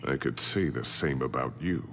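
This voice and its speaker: A man's voice: rumbling low, normal speed, gravelly, pushed and bright, a little hiss or hum, full character voice. An actor playing a stern, impatient older man of authority in a video game, speaking with curt disdain. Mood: disdainful. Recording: long reverb, music underneath.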